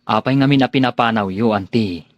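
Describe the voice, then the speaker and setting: A man's voice: lowish voice, a little fast, no echo, highs cut off, lightly acted. A man playing an earnest, lovesick young man in a radio drama, questioning and searching for his sweetheart. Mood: questioning, concerned.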